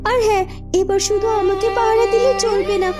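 A woman's voice: extremely high pitch, steady tone, fairly quick, loud music behind the voice. A woman voicing a brave, determined young daughter in a fairy-tale cartoon, speaking firmly and earnestly. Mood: reassuring, resolute. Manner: firm, earnest, commanding.

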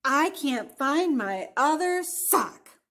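A woman's voice: high-pitched, expressive, slow, a bit bright, voice pushed up, heavily acted. A woman voicing a cranky, upset young male storybook character who wails and insists. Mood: upset, insistent. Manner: emphatic, wailing, insistent.

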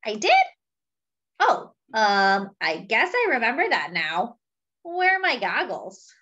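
A woman's voice: fairly high pitch, very animated, slow pace, bright, forceful tone, dull audio, full character voice. A woman voicing a big, forgetful, gullible male elephant for a children's picture book, exclaiming and then hesitantly agreeing.

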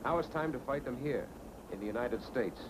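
An actor playing a resolute American hero in an earnest, firm 1940s film serial style. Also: male voice, mid-range voice, lively intonation, brisk, close to an everyday voice. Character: resolute heroic American masked avenger, confiding in his twin. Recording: noisy old 1940s film soundtrack.

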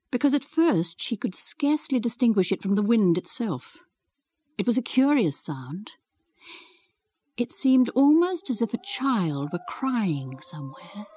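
A woman's voice: on the high side, darkish tone, slightly rough, no room sound. A woman reading a classic novel as scripted storybook narration for radio. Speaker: reader.

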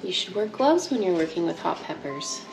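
A woman's voice: lowish voice, big pitch swings, fairly quick, gravelly, over music. Chatty young woman in echoey kitchen. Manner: chatty, laughs easily, coughs at pepper fumes. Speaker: homestead cooking vlogger. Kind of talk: casual cooking demonstration. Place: home kitchen, indoors. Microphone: wide camera on the counter. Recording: echoey kitchen with background noise.

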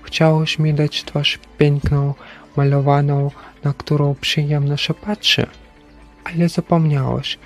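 A stern, solemn Christ in a religious play, acted by a man. The voice is slightly high for a man and creaky, with slow, preaching gravity. Faint music sits underneath. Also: a little mellow. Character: stern, solemn Christ speaking from a broken figurine. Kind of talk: dramatic religious stage-play acting.

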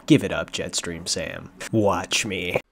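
A man voicing a smug, taunting villain from an anime or game, with a mocking drawl and a husky voice. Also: low voice, slow pace, fairly bright tone, exaggerated voice. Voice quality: husky, creaky. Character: smug, taunting villain squaring off against a hero.

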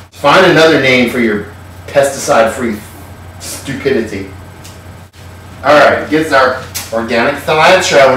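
A man's voice: medium pitch, fairly bright tone, heavy reverb, recorded from a distance, rough recording. A sardonic, irreverent man hosting his own cooking show from a home kitchen, swearing with easy nonchalance. His voice bounces hard around the bare room and sits a little away from the mic. A faint hum of room noise lingers underneath. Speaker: host.

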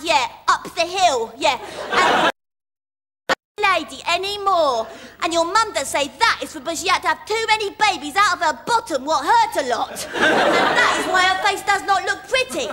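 A woman's voice: very high voice, animated, moderate pace, over a noisy crowd, very noisy. A woman playing an earnest little girl who explains things with childish grammar in a comedy monologue. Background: studio audience laughter.

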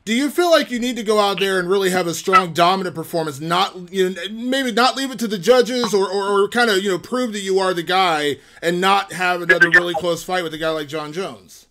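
A man's voice: high-pitched, fairly quick, hardly any pauses, sharp tone, a little hiss or hum. A man working as a reporter, asking conversational interview questions.

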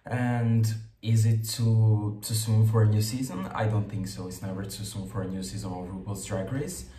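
A man's voice: fairly low pitch, steady tone, warm tone, echoey room. A young man hosting a casual reaction video from his bedroom, chatty and laughing often, picked up by a webcam at desk distance. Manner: chatty, laughs often, slow deliberate phrasing. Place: bedroom, indoors.

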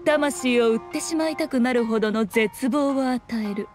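An actress playing a sly, self-assured fox shrine maiden in a Japanese video game, speaking in a lofty, archaic, composed manner. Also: female voice, a little high, music in the background, close to an everyday voice. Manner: archaic, lofty, unhurried speech with teasing composure.

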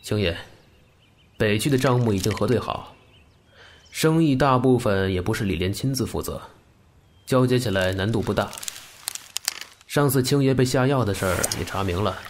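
Man voicing a terse, low-voiced young gang lieutenant. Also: normal speed, pauses often, slight background noise, lightly acted. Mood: deferential, restrained. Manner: terse, reserved. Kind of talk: Chinese audio drama acting.

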